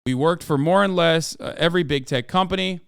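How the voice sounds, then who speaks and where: Man putting on a frank, higher-voiced Icelandic man. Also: fairly quick, creaky, smooth, no room sound, clean studio sound. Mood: earnest. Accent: put-on Icelandic. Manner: reading a written thread aloud in character. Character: Icelandic male entrepreneur, frank and wry.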